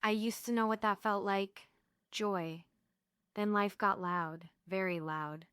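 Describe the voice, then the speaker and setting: A woman's voice: mid-range voice, fairly even intonation, takes it slowly, fairly bright tone, clean tone, no echo, studio quality. A woman playing a wry, world-weary, outspoken heroine in a sardonic manner at a play table read.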